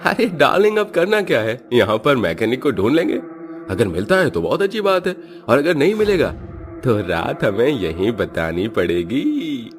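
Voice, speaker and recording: A man voicing a casual, reassuring young man with a teasing manner in an animated horror story. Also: mid-range voice, normal speed, soft background music, voice pushed up, close to an everyday voice.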